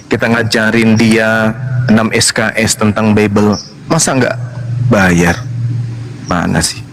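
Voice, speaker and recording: A man's voice: lowish voice, steady tone, slow pace. Male co-host, joking and teasing, mid voice, faint noise. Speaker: co-host of a religious debate stream. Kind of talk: casual joking chat.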